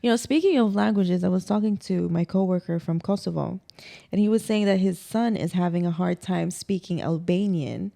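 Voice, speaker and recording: Female podcast guest from the Emirates, casual and explanatory, soft dark tone with creaky edges. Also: lowish voice, moderate pace. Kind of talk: casual conversation.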